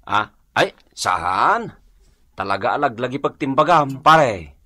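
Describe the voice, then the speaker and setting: A man playing a hapless, excitable husband who swings from meek to defiant, in an Ilocano-accented radio comedy drama. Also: mid-range voice, slow, exaggerated voice. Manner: excitable, exclaiming, swinging from mumbling to shouting.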